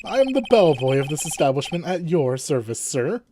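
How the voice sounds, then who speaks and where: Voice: medium pitch, big pitch swings, a little fast, background noise, close to an everyday voice. A performer voicing a courteous, servile male hotel bellboy from a video game, formal and deferential.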